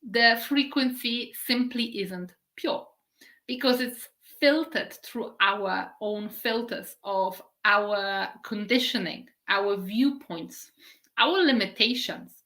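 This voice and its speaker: A middle-aged woman from Poland gives a spiritual live-stream talk. Her voice is bright and forward, and she stretches out key words slowly. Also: mid-range voice. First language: Polish. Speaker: spiritual teacher.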